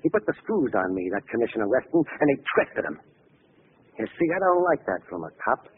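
A man's voice: medium pitch, big pitch swings, a little fast, natural voice. A man playing a defiant, vengeful gangster in 1940s radio acting, with slangy tough-guy talk. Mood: vengeful, bitter. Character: defiant vengeful American gangster facing execution. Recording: tinny, hissy old radio recording.

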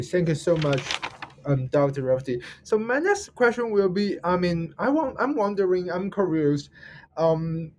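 A man's voice: fairly high pitch, a little mellow. A man with a Chinese accent hosting an interview, polite and hesitant, often restarting and apologizing. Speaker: host.

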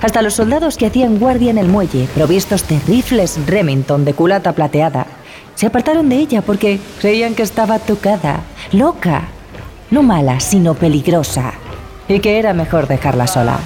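A woman playing a wary ship passenger who recounts a horror tale in a measured, grave manner. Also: mid-range voice, animated, mellow, a little hiss or hum, natural voice. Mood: grave recounting. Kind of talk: dramatized first-person horror narration. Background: music.

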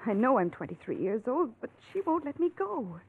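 A woman's voice: on the high side, very animated, moderate pace, slightly rough, exaggerated voice. An actress playing a desperate, earnest young farm woman in a 1940s radio drama, pleading urgently for help. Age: young adult. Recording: narrow-band old radio, faint noise.

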